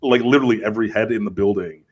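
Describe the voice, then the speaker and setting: A man with a slightly husky voice talks college football on a casual podcast. He rambles and gets animated, with plenty of fillers as he builds his points. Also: fairly low pitch, rapid-fire. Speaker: co-host, Miami fan. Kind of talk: casual sports podcast chat.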